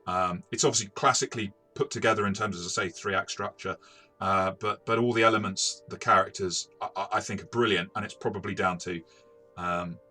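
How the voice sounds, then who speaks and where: Bright-voiced man, halting review chat. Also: on the low side, steady tone, faint music behind. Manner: hesitant, halting, self-correcting delivery. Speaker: game reviewer and experienced game master. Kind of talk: conversational review discussion.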